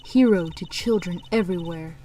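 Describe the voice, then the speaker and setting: A woman playing a composed, earnest lawyer and mentor in a video game fandub, calm, sincere and reassuring. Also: on the low side, normal speed, crowd noise, lightly acted, low-quality recording. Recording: music bed behind the voice.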